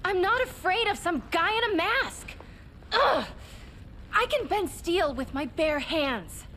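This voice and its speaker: An actress playing a defiant, restless and idealistic young superheroine in a video game cutscene. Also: female voice, extremely high pitch, expressive, slow pace, pushed and bright, a little hiss or hum, heavily acted.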